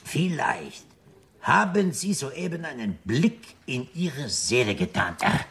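Slow, enigmatic, ceremonious gentleman. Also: mid-range voice, big pitch swings, heavily acted. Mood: cryptic. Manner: deliberate, ornate, dramatic pauses. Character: enigmatic, ceremonious male host, slyly courteous. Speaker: actor in a radio drama. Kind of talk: German radio play acting.

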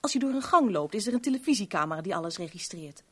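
An actress in a Dutch radio drama playing a guarded, sharp-tongued young woman with a curt, irritable manner. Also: medium pitch, lively intonation, fast, husky. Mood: urgent, guarded. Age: young adult.

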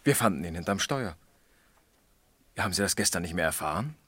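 Keen, low-voiced young police lieutenant. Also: male voice, lively intonation, brisk, bright, forceful tone, slightly rough, no room sound, exaggerated voice. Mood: businesslike, alert. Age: young adult. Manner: eager, methodical, suspenseful. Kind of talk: German radio crime drama acting.

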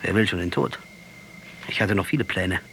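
A man's voice: low-pitched, steady tone, moderate pace, a bit bright, slight background noise, poor audio. An actor playing a proud, fervent Argentine guerrilla commander in a radio drama, lecturing and sardonic as he argues. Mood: bitter, resigned. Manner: didactic, sardonic, rhetorical storytelling.

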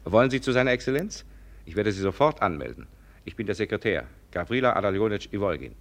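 An actor playing a polite, efficient general's secretary in a radio drama, formal and attentive. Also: male voice, lowish voice, big pitch swings, fast talker. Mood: courteous, curious. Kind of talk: German radio drama acting.

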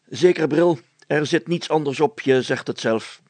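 A man voicing a nervous, excitable town mayor with a fussy, exclamatory way of talking in an audiobook story. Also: a little high, unhurried, no room sound, voice pushed up. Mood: resolute, regretful. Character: nervous, excitable, curious male burgomaster.

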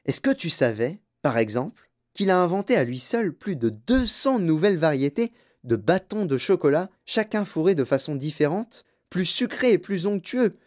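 A narrator voicing a grandfather in his nineties, an excitable and fond storyteller full of emphatic exclamations, in a storybook. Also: mid-range voice, normal speed, pitched above the performer's own voice, lightly acted. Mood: marvelling, boastful. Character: excitable, awestruck very old grandfather, vivid storyteller.

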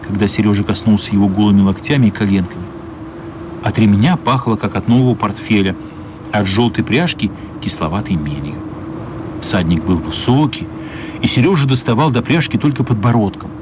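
A man narrating a children's radio play as scripted storytelling, measured and expressive, with pauses. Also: fairly low pitch, background noise. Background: music.

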